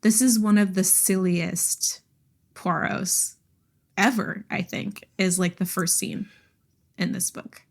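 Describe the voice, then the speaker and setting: A woman co-hosting a podcast, chatting about books in an informal, animated way full of "like". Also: medium pitch, slow. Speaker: podcast co-host. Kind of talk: casual book discussion chat.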